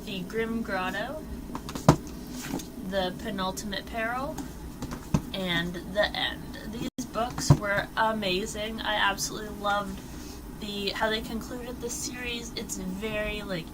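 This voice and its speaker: A young woman chatting casually about her month's reading for her vlog, rambling with lots of "like" and "um," her voice a little worn as she comes down with a cold. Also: medium pitch. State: losing her voice, getting sick. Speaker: book vlogger. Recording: distant mic with clear background noise.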